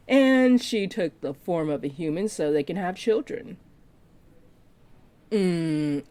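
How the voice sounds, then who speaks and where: A woman playing a flirty, possessive part-dragon librarian in an intimate roleplay audio drama, speaking to the listener in a teasing, deliberate way. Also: on the low side, a little mellow. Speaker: voice actor.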